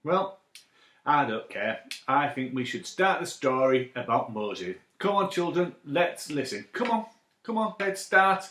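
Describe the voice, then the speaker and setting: Echoey, animated man presenting children's story time. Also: fairly high pitch, unhurried, a little hiss or hum. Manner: excitable, chatty, rhetorical questions. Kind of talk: playful chat addressed to children. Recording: echoey room.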